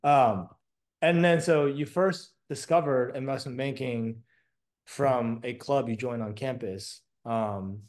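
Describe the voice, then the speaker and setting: A man who moved to the States from Taiwan as a child, hosting an interview in a relaxed, casual way with many fillers. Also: medium pitch, takes it slowly. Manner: casual, friendly, lots of fillers like "um" and "like". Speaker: host.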